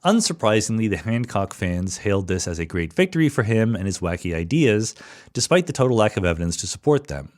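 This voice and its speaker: A middle-aged man hosting a podcast, answering listener questions in a relaxed, thoughtful monologue with frequent fillers and restarts. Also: fairly low pitch, fairly quick. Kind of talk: casual solo monologue.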